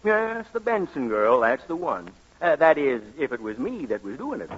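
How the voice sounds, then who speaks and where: An actor playing a loyal, droll household servant, folksy and hesitant, in 1940s radio comedy style. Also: male voice, on the high side, sing-song, normal speed, slight background noise. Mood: chuckling, reminiscing. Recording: muffled vintage radio broadcast.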